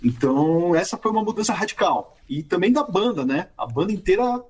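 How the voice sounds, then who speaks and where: A man talking as a guest about music history, telling the story in a relaxed way and often adding short tag questions. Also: high voice, fairly quick, breathy edge. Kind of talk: conversational storytelling.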